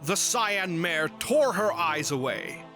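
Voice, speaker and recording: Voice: medium pitch, lively intonation, slow pace, pushed and bright, faint music behind. A narrator reading a scripted fantasy story in a storybook style.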